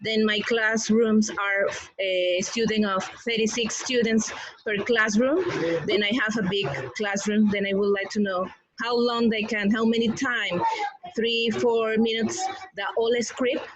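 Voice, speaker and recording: A woman teacher with a Panamanian Spanish accent asks a question in halting non-native English. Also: a little high, little pitch movement. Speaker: high school teacher asking a question. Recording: noisy online call microphone.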